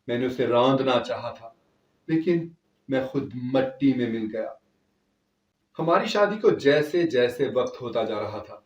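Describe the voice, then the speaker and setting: A man narrating a scripted dramatic story in the first person, as a storyteller. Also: medium pitch. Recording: echoey, distant from the mic.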